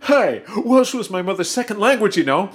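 A man's voice: high voice, sing-song, normal speed. A man voicing a greedy, whiny male crewman in a comedy audio drama, given to giddy squeals.

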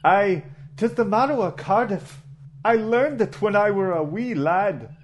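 A man voicing a folksy Welsh male engineer in a comedy audio drama, with a put-on Welsh accent and a slow, lilting delivery. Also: sits high, lively intonation.

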